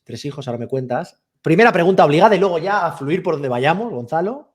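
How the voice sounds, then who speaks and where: A man's voice: on the high side, animated, fast talker. A man hosting a casual interview, chatty and eager, often repeating his questions. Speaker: interview host.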